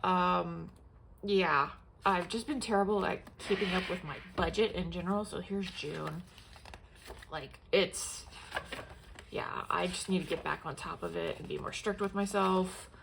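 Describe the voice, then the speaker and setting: A young woman talks through her planners in a loose, rambling way, full of fillers and false starts. Her nose is stuffed up and she sniffles now and then. Her voice has a gravelly edge of vocal fry over a faint background hiss. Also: lowish voice, unhurried. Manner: rambling, many fillers and restarts. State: congested, sniffling. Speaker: planner vlogger. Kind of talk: casual show-and-tell chat.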